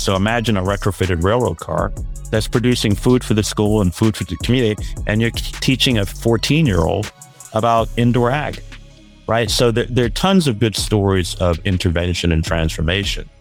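A man's voice: fairly low pitch, creaky. A man born in Barbados and raised in Brooklyn, talking earnestly and upbeat as an interview guest. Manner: upbeat, earnest, repeats words as he starts. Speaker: guest, sustainability advisor. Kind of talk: casual interview talk. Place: Williamsburg, Brooklyn, remote call.

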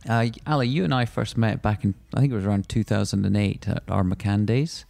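A man hosting a podcast, reading a scripted guest introduction and then asking measured, earnest questions. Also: on the low side, vocal fry, darkish tone, no room sound. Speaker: podcast host. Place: worked in London.

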